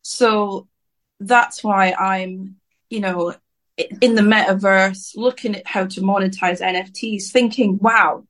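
A woman's voice: mid-range voice, fairly even intonation, slow, a bit bright, low-quality recording. Outspoken woman with a rambling delivery. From Scotland. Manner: outspoken, rambling, self-interrupting. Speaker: singer-songwriter guest. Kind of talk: candid interview answers.

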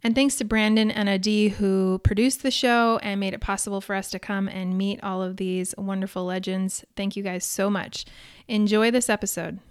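A woman in her forties co-hosting a podcast, upbeat and quick to laugh, going from a scripted intro to casual chat with guests. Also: medium pitch, steady tone, smooth. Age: 40s. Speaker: podcast co-host.

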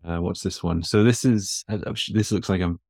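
Man, Newcastle accent, very low voice, relaxed, self-deprecating, fillers, dry close sound. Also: a little fast, creaky, no echo. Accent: Newcastle English. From north of England. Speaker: guest, physiotherapist and educator. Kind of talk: relaxed conversational interview. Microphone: podcast microphone.

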